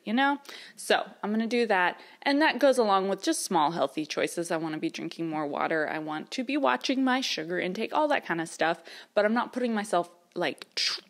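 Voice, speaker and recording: Chatty woman in her thirties, lilt. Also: lowish voice. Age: 30s. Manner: chatty, rambling, with frequent fillers and small laughs. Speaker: vlogger. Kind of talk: casual chat to camera. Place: her mother's house, indoors.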